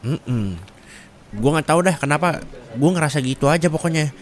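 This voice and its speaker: A man playing a gossipy, excitable male office coworker in a roleplay, with a Jakarta accent and slangy, dramatic, rambling chat. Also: a little high, moderate pace, lightly acted. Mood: gossipy, teasing.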